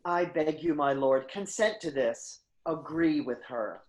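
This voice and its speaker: A woman's voice: sits low, unhurried. A woman playing a loyal, conciliatory counselor to a king in a staged Greek tragedy, speaking with earnest formality. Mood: pleading.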